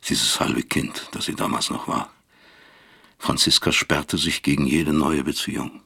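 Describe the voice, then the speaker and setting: An actor playing a middle-aged, guilt-ridden professor who narrates a confessional radio drama monologue in a deliberate, brooding manner. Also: male voice, very deep voice, fairly even intonation, creaky, bright, forceful tone, breathy edge, full character voice. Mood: rueful, reflective. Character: bitter, guilt-ridden East German professor looking back.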